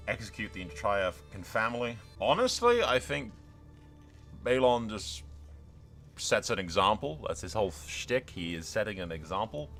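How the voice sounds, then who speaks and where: A man's voice: lowish voice, fairly bright tone. A man giving casual, sweary game commentary, slipping into mock-grandiose role-play narration. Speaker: gaming video host.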